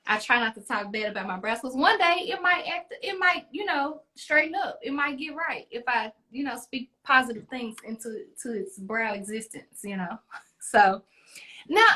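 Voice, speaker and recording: Female beauty host, higher register, casual and chatty, playful and encouraging with the audience. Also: bright, forceful tone. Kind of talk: casual chat.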